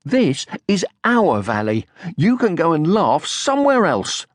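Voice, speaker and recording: A man's voice: fairly high pitch, huge pitch swings, unhurried, no room sound, clean studio sound, pitched above the performer's own voice. A man playing an earnest, plucky young male troll in a children's storybook, exclamatory and easily indignant.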